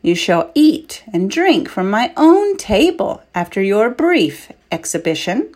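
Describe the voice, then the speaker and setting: A woman's voice: medium pitch, very animated, slow, a little mellow, pitched above the performer's own voice. A woman reading a story, voicing a domineering, scheming king who speaks harshly. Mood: commanding. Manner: commanding, harsh.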